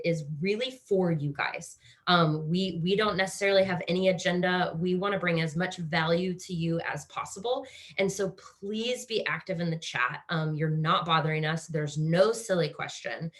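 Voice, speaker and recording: A woman with an American Midwest accent hosts an online panel over a video call. Her voice sits a little low, and she keeps an upbeat, encouraging tone, often restarting sentences mid-thought. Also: normal speed, hardly any pauses. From Kansas, USA. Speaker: webinar host. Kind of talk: hosting a live online panel.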